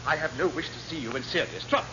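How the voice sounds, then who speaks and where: An actor playing a smug senior naval officer with a formal, pompous manner in a classic radio sitcom. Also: male voice, on the high side, quick pace, lightly acted. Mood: smugly dismissive. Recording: muffled, noisy old radio comedy recording.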